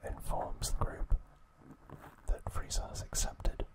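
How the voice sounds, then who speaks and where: A man narrating trivia as an ASMR host in a breathy, unvoiced whisper, soft and deliberate.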